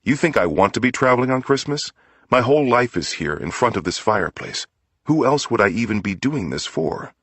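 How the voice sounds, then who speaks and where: A man voicing a smooth, self-righteous preacher's son and family man in an audiobook, folksy and pleading. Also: mid-range voice, big pitch swings, brisk, a bit bright, no echo, pitched above the performer's own voice. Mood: earnest pleading.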